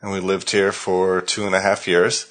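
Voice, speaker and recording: A man's voice: deep voice, flat delivery, normal speed, a bit bright. An American man from California hosting a podcast in casual conversation, talking with many hesitations and restarts. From California, United States. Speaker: podcast host.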